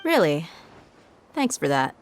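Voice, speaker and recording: Lowish, wry mercenary woman. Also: sing-song, slow pace, slight background noise, exaggerated voice. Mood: easygoing. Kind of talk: video game voice acting.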